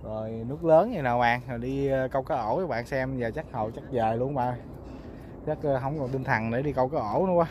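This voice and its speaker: A man with a Southern Vietnamese, Mekong Delta accent talks in a mid-range voice. He chats casually to his viewers like a fishing vlogger, full of colloquial exclamations. It is recorded outdoors on a boat with clear background noise. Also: mid-range voice, fast talker, a little mellow. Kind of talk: casual outdoor vlog chat. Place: outdoors on a small boat on a river.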